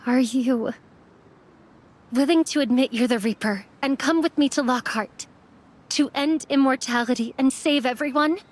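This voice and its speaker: A woman's voice: sits high, normal speed. A woman playing a young immortal apprentice mortician in an anime game, earnest and subdued. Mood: resolute.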